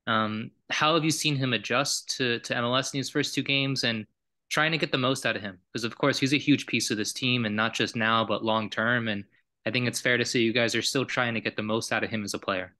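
A man's voice: mid-range voice, steady tone, fairly quick, bright, forceful tone. A man, a sports reporter, asking a coach a question at a press conference in a conversational way, thinking aloud as he frames it.

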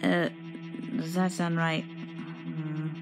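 A woman's voice: low-pitched, little pitch movement, drawn-out words, creaky, music in the background. A woman who does amateur voice acting, chatting casually and hesitantly between takes, correcting herself. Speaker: amateur voice actor.

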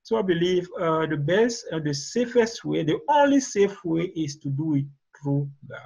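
High-voiced Cameroonian man, husky. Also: animated, normal speed, darkish tone. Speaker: guest author and relationship expert. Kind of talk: interview answers, explaining. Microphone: video call. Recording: dull, lo-fi video call audio.

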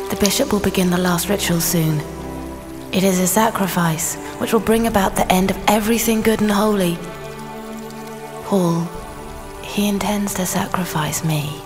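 An actress playing a gentle, solemn holy maiden spirit in a video game, earnest and tender, sometimes near a whisper. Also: female voice, lowish voice, close to an everyday voice.

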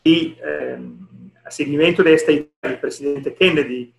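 A man's voice: on the high side, fairly even intonation, normal speed, vocal fry. A middle-aged man from Italy with an Italian accent gives a formal presentation in a non-native language, often searching for words, on a headset in a video call. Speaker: deputy director at an international organisation, presenting. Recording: roomy echo on video call.

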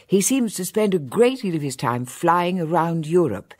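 A woman's voice: lowish voice, sing-song, moderate pace. A woman playing a genteel elderly grandmother who chats in long, well-bred lists, in a radio play. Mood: worried. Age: older. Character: genteel elderly English grandmother, chatty and worried. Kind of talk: radio drama acting.

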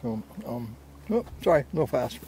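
Gravelly older man vlogging on a hike. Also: mid-range voice, big pitch swings, a little fast, mellow. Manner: folksy, self-deprecating. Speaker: hiking vlogger. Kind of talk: casual narration while hiking. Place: outdoors on a rocky desert mesa slope. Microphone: handheld camera at arm's length. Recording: outdoor handheld camera with ambient noise.

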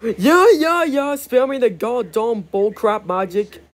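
A man's voice: extremely high pitch, animated, takes it slowly, voice pushed up, heavily acted. A man voicing a hot-tempered, bossy cartoon ghost gang leader, aggressive and impatient. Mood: angry.

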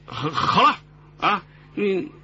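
An actor with a Mainland Chinese Mandarin accent playing a bossy, wry police squad chief in a radio drama. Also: male voice, high-pitched, sing-song, slow pace, sharp tone, breathy edge, full character voice. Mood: brusque, bossy. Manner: brusque, commanding, repeats orders. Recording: muffled, noisy broadcast radio drama.

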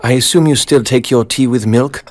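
An actor playing a reserved, courteous gentleman in an animated game, speaking in a measured, understated way. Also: medium pitch, close to an everyday voice. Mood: polite, modest. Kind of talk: animated game cutscene acting.

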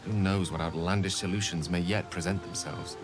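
An actor voicing an eloquent, theatrical human wizard in a video game, speaking in articulate, wordy, confessional dialogue. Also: male voice, sits low, steady tone, a little fast, over music, lightly acted. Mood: reflective, earnest.

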